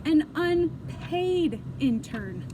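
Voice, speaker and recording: Woman reading as patient storybook mother, high voice. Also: big pitch swings, very slow, exaggerated voice. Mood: patient correcting. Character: patient, reasonable mother of a young boy. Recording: clear background noise.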